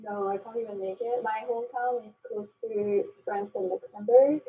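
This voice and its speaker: Breathy Belgian woman in a tinny clip. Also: mid-range voice, fairly even intonation, moderate pace. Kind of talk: casual interview chat. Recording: tinny phone-like sound from a played video.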